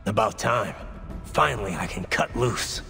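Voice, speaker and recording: An actor playing a wry, gruff cyborg soldier in a video game, terse and sarcastic. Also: male voice, on the low side, lively intonation, unhurried, music in the background. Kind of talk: scripted game acting.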